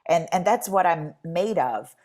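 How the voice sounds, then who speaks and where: A woman's voice: on the low side, animated, a little slow, a little mellow. A woman in her forties from Brazil, speaking as a panel guest, thoughtful and correcting herself as she goes. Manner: thoughtful, self-correcting, sometimes speeds up. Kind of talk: reflective panel conversation.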